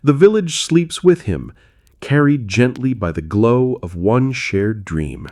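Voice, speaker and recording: A man narrates a scripted bedtime tale at a slow, measured pace. His medium-pitched voice moves with a lively rise and fall. The delivery is soothing, made for storytelling at night.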